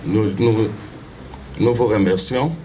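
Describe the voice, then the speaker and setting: Male funeral speaker, measured delivery, low pitch, noisy outdoor recording. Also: fairly even intonation, mellow. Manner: slow, measured, formal reading. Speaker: funeral master of ceremonies. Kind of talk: reading a biography at a funeral.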